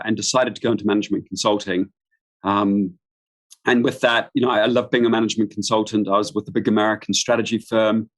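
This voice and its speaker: A middle-aged man from New Zealand giving an informal live webinar introduction as a business expert, speaking in halting, stop-start phrases. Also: lowish voice, fairly bright tone, rough recording. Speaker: guest expert, business founder. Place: room at home.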